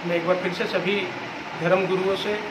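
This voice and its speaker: Male temple spokesman, notably high voice, halting statement delivered phrase by phrase amid very loud background noise. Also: fairly even intonation. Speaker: temple representative giving a statement. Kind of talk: spoken public statement and appeal. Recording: echoey, very noisy on-location recording.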